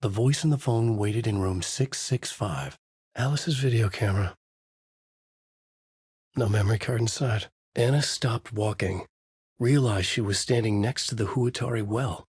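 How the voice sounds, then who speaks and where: An actor playing a brooding, haunted male writer who gravely narrates his own horror story in a husky voice for a video game. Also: fairly low pitch, a little slow, a little mellow, no room sound, studio quality. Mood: brooding, ominous.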